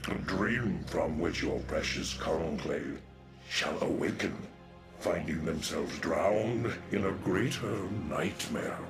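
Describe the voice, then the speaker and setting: A man voicing an ancient, proud alien dark warrior in a video game, solemn and portentous, with archaic formal delivery. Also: sits low, slow, music in the background. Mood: grave, ominous. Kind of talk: theatrical video game voice acting.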